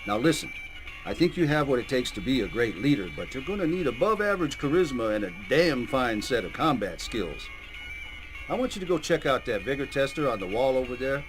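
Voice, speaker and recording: A man playing a gruff, patriotic, hot-tempered coach who speaks like a recruiter in a video game. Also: mid-range voice, low-quality recording. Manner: rousing, commanding, profane.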